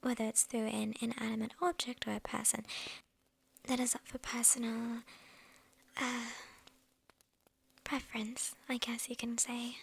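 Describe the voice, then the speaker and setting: Woman playing a slow, whispering, possessive witch. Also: on the high side, level tone, exaggerated voice. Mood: possessive, teasing. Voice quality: breathy, mostly whispered, creaky. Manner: unhurried, coy, amused, addressing the listener intimately. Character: lonely, possessive young witch, slyly menacing and teasing. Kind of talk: whispered ASMR roleplay.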